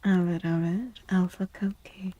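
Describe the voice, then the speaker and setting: A woman speaking in a soft ASMR style as a streamer doing a tarot reading, hushed and often slipping into whispers. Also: fairly low pitch, fairly even intonation, a little slow, no room sound, highs cut off.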